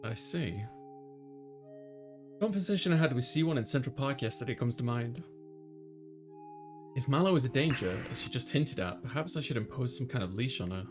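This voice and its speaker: A man's voice: medium pitch, animated, moderate pace, pauses often, natural voice. Visual novel student lead voiced by a man, easygoing, casual, reflective, music under the voice. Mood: thoughtful. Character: easygoing young male student with AI companion. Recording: music playing behind the voice.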